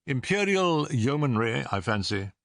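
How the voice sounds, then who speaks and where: A man reading an audiobook as a self-assured male detective, crisp, incisive and a little condescending. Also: mid-range voice, big pitch swings, unhurried, a bit bright, dry recording, studio quality, voice pushed up. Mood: brisk, assured. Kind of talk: audiobook character dialogue.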